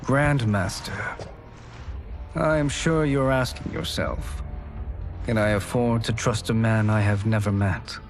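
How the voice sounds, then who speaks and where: A man playing a smooth-talking, calculating villain in a video game cutscene, formal and self-assured. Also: lowish voice, takes it slowly, faint music behind. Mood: smoothly persuasive.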